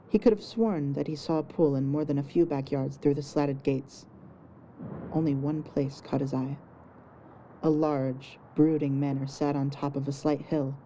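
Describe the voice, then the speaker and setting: Low, very mellow woman narrator. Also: a little hiss or hum. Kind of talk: scripted fiction narration.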